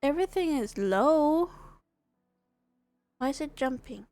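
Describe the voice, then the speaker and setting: A woman's voice: sits high, expressive, takes it slowly, a little mellow, no echo. A woman livestreamer chatting casually in a hesitant, rambling way, often repeating and rephrasing herself. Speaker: livestreamer and gamer.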